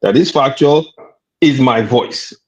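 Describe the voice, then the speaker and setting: A man's voice: mid-range voice, slow, lo-fi sound. A man on a panel, arguing heatedly and cutting in to hold the floor. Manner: argumentative, keeps interjecting to hold the floor. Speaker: panel guest.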